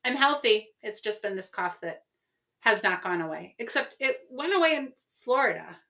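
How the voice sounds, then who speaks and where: Middle-aged female co-host, bright and tense tone, hoarse with a cough, brisk and decisive. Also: medium pitch, lively intonation. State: coughing, losing her voice. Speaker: podcast co-host. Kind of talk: casual chat.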